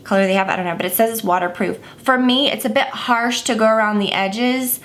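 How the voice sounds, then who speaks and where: A woman's voice: on the high side, brisk, a bit bright. A woman giving a casual makeup tutorial, chatty and rambling with many fillers, a bit stuffy and scratchy. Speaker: makeup vlogger.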